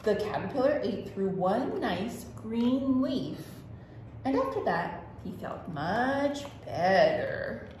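A woman reads to young children as a storytime host, with a very animated, swooping delivery. She takes her time over phrases and speaks to the children directly with expressive exclamations. The room is strongly echoey. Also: on the high side, slow. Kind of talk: children's read-aloud storytime. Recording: echoey room with faint noise.

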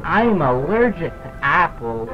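An actor plays a timid cartoon sheep sidekick. The voice is worried and hesitant with a bleating edge, in a children's show style, with music playing clearly behind it. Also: mid-range voice, sing-song, slow pace, lo-fi sound. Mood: meek, doubtful. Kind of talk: children's cartoon acting in a horror game.

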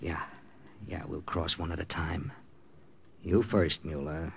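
A man's voice: sits low, fairly even intonation, slow, vocal fry, slight background noise, full character voice. A man acting a wary, distrustful American captain and secret agent in an old radio war drama, with clipped hard-boiled delivery. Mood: coldly threatening. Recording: old radio broadcast with loud music.

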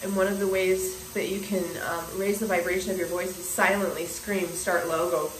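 A woman's voice: fairly low pitch, fairly even intonation, moderate pace. A woman in her forties speaking earnestly to a phone camera in a small bathroom, musing with restarts while her throat sounds scratchy. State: scratchy throat, clearing it often. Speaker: spiritual vlogger. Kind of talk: casual spiritual monologue to camera. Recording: roomy bathroom echo, faint hiss.

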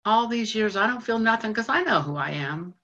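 An actress playing a lonely, lovesick woman who mops floors at a train station, talking bluntly and haltingly with plenty of swearing. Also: a little high, expressive, a bit bright, lo-fi sound. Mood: yearning. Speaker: actor in a monologue. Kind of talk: stage monologue.

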